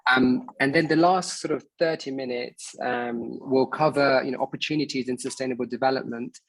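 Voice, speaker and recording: Man in his thirties, husky, hesitant. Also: mid-range voice, fairly even intonation, normal speed, rough recording. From Bangladesh, raised in England. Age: 30s. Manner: hesitant, many fillers and restarts. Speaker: host of an online meeting. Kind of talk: casual presentation on a video call.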